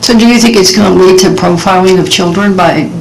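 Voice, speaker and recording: A woman's voice: lowish voice, a little fast, vocal fry. A woman senator politely asking a witness formal questions during a committee hearing. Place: large hearing room. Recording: noisy hearing room audio.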